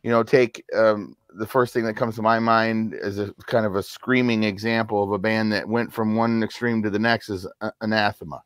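A middle-aged man gives plainspoken opinions about music over a video call. His voice sits a bit low and the sound is dry, with a casual, slightly halting delivery. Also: level tone, clean tone, no room sound. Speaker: album review panelist. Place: home record room, indoors.

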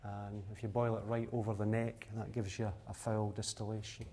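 A man's voice: fairly low pitch, level tone, moderate pace, mellow. Matter-of-fact man in his thirties. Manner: plain, matter-of-fact explanations with frequent "uh". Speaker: distillery owner. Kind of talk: explaining on a tour. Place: indoors in a distillery still house and a cask warehouse.

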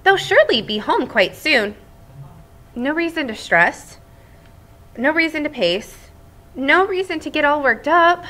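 A woman's voice: high-pitched, unhurried, pauses often, pitched above the performer's own voice, heavily acted. A woman doing a chatty little egg guy. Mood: fretting. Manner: bouncy, expressive storytelling. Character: chatty cheerful male egg, fond of quiet. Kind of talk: storybook read-aloud.

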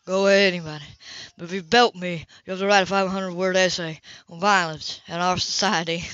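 A woman's voice: on the low side, steady tone, brisk, fairly bright tone, no room sound, pitched below the performer's own voice, close to an everyday voice. A woman voicing a stubborn, cocky, hot-headed man in a romance audiobook, blunt and insistent. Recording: dull, lo-fi home recording.

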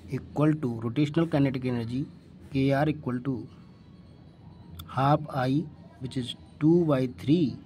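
A man with an Indian accent tutoring physics, working through a problem step by step in deliberate, halting phrases. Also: medium pitch, a little mellow, a little hiss or hum. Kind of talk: step-by-step worked-problem lesson.

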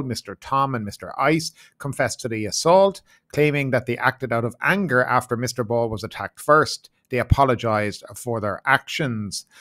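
A middle-aged man hosting a news show, reading the stories in a steady, even newsreader delivery into a studio mic. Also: medium pitch. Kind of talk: read news bulletin. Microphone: studio mic in frame.

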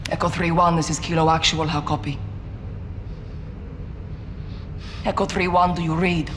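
An actress playing a resolute guerrilla commander in a military game, terse and commanding, with a Middle Eastern accent. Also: female voice, fairly low pitch, fairly even intonation, quick pace, noisy background, close to an everyday voice. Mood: grave. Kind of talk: dramatic game cutscene acting. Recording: loud cinematic score under dialogue.

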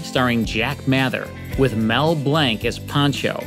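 A man in his fifties, a podcast host, reading a scripted introduction in a studio in a steady, informative way. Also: mid-range voice, slow pace. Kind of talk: scripted narration. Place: studio set with a table. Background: music.

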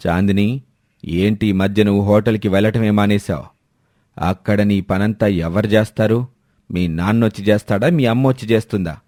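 Man voicing stern, domineering low-voiced old father. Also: fairly even intonation, moderate pace, warm tone, dry recording, clean studio sound, natural voice. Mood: demanding. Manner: scolding, commanding, threatening. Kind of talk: animated moral story acting.

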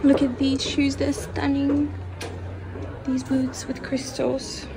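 High-voiced, unhurried young woman vlogger. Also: monotone. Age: young adult. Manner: enthusiastic, gushing. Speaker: fashion vlogger. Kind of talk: casual shopping vlog chat. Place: inside a large department store and boutiques. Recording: busy store background, music playing.

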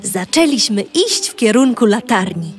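A woman's voice: on the high side, big pitch swings, normal speed. A Polish-accented woman telling a children's audio story in the first person as a cheerful young girl.

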